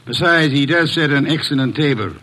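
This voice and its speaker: An actor playing a bulky, pompous genius detective who grumbles in formal, wordy speech, in old American radio drama style. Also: male voice, a little high, fairly quick, sharp tone, rough recording. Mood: pompous, dry. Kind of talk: 1940s-50s American radio drama acting.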